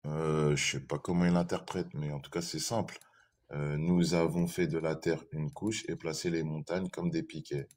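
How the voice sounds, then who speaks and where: A man's voice: a bass voice, little pitch movement, normal speed, a little mellow. A man in a live online debate, defending his view hesitantly, repeating himself and reading verses aloud.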